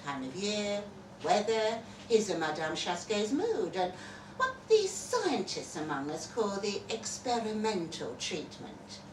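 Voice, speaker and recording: A woman reading a literary short story to a live audience in a theatrical, wry and deliberate way. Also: mid-range voice, sing-song. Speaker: reader performing a short story at a festival. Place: live festival reading in a roomy indoor space. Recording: roomy live event recording, distant mic, faint noise.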